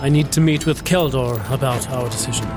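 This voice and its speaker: A man's voice: medium pitch, steady tone, a little fast, over music, close to an everyday voice. A man playing an earnest leader in a fantasy audio drama, deliberating with some hesitation. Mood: earnest, decisive. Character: earnest male leader organising a war council.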